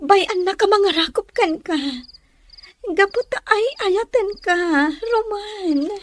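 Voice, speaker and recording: A woman's voice: very high-pitched, a little slow, slight background noise. A woman acting a well-off young city woman in love, eager and coaxing, in an Ilocano radio drama with a Filipino accent. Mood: pleading.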